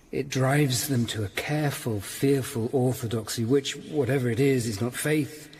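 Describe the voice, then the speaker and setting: An actor playing a grave, dutiful Tudor lawyer-detective in a radio drama, speaking in a measured, formal and earnest way. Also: male voice, medium pitch, darkish tone, slight background noise, close to an everyday voice. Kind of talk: radio drama acting and first-person narration.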